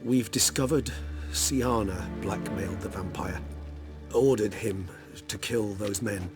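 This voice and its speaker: An actor playing a measured, courteous, learned gentleman in a fantasy game, formal and articulate. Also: on the low side, over music, natural voice. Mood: earnest.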